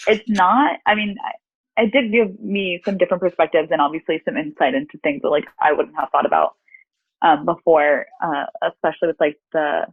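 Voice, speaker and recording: A woman's voice: low voice, fairly quick, husky, dry recording, narrow, tinny audio. A young woman, a guest at a tarot reading, chatting casually in an informal, reflective and self-deprecating way over thin call audio. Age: young adult. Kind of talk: casual chat.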